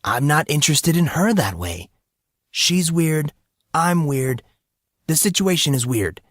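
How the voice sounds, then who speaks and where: A man's voice: fairly high pitch, expressive, measured pace, fairly bright tone, no room sound, clean studio sound, voice pushed up. A man voicing a defensive, exasperated fourteen-year-old boy with an earnest, evasive manner in an audiobook. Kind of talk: audiobook character voice.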